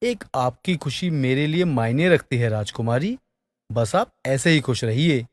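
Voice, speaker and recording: A man's voice: medium pitch, animated, unhurried, clean studio sound, close to an everyday voice. Casting: man as storybook king and loving father, middle-aged, dignified, courtly, reverberant hall sound. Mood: affectionate. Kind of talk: animated fairy-tale voice acting.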